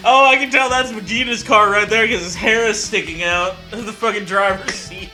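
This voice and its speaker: A man's voice: high-pitched, steady tone, normal speed, bright, forceful tone, low-quality recording. A man riffing casually as a comedy co-host, sarcastic and full of swearing. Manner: sarcastic, profane. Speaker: co-host commentator. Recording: music behind the voice.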